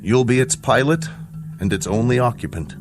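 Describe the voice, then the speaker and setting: An actor playing a measured, grave older scientist in a radio drama, speaking precisely and formally with a fatherly authority. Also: mid-range voice, animated, over music, natural voice. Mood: businesslike. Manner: precise, deliberate, formal, explanatory. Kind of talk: radio drama acting, deliberate dialogue.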